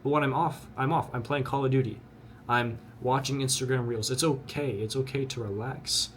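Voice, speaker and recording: A young man of twenty talks to the camera with blunt, earnest conviction, often repeating words as he pushes his point. His voice sits at an ordinary middle pitch. A faint hum of ambience runs behind him. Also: steady tone, normal speed. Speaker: vlogger. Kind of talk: motivational talk to camera.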